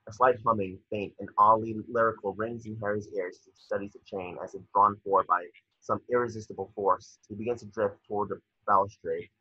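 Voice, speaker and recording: A man with a husky voice narrating screenplay stage directions at a table read over a video call. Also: lowish voice, steady tone, brisk, sharp tone, muffled recording.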